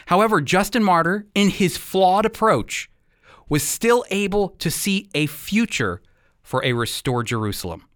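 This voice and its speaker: A man's voice: high voice, expressive, a little slow, a bit bright, dry recording, studio quality. A man presenting a radio program, explaining church history and scripture in an earnest, emphatic teaching manner.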